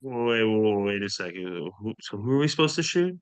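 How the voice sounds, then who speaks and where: A man's voice: on the low side, lively intonation, a little fast, clear, resonant tone, natural voice. A man playing a hapless, bewildered goofball sidekick in a comedic table read. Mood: confused.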